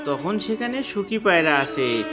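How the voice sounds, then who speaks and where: A narrator reading a scripted children's cartoon story in a deliberate storytelling manner. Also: medium pitch, very animated, over music.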